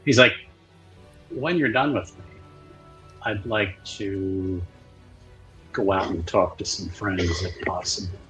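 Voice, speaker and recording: A man narrating his character's moves in a tabletop roleplaying game, casually and with hesitant pauses. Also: low-pitched, fairly bright tone, soft background music, low-quality recording.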